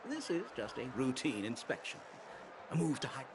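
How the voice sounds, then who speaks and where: A man's voice: mid-range voice, very animated, normal speed, background noise, close to an everyday voice. Knowing male patrolman with swooping intonation, acted. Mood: officious. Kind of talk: video game dialogue acting. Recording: voice over game music. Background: clear background music.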